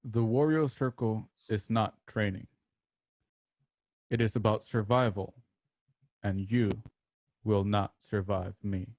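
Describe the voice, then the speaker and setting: Low, slow, stern male warrior, acted by a man. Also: darkish tone, no room sound. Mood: stern, dismissive. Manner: solemn, measured, terse. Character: stern, stoic male warrior mentor and fighter. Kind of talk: radio drama acting.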